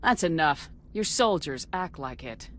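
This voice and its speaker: A woman's voice: low voice, expressive, a little slow, a little hiss or hum. A woman playing a tough, no-nonsense space commander in a video game, with a brusque manner. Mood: brusque, irritated. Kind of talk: video game character acting.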